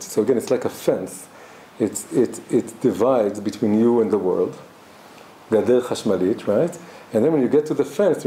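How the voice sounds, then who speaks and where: Guy teaching a thoughtful class, super mellow low-toned voice, echoey room. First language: Hebrew. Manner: halting, thoughtful, many restarts. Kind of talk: reflective spoken class. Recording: roomy echo, faint background noise.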